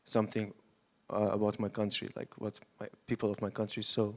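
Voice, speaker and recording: A filmmaker from Syria gives an unscripted answer on a panel. His voice is slightly low and quite flat in pitch. He speaks haltingly, searching for the next word. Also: creaky.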